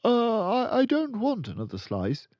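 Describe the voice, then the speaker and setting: A man's voice: sits high, huge pitch swings, unhurried, darkish tone, no room sound, dull audio, voice pushed up, full character voice. A man voicing a timid, reluctant schoolboy in a storybook, speaking hesitantly. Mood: nervous, reluctant. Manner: hesitant, murmuring. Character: timid, reluctant schoolboy forced to eat cake.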